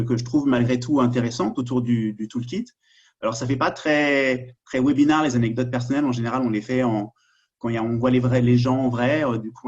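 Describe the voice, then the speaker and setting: Husky Parisian man, chatty and informal. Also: medium pitch, a little mellow, dull audio. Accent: Parisian French. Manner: informal, self-deprecating, repeats words while starting sentences. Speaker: panelist presenter. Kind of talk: chatty webinar presentation.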